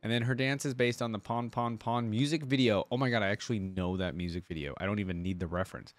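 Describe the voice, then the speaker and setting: A man hosting a casual reaction video, rambling and thinking out loud with enthusiasm. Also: lowish voice, quick pace, no room sound, soft background music. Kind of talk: casual reaction commentary, reading aloud.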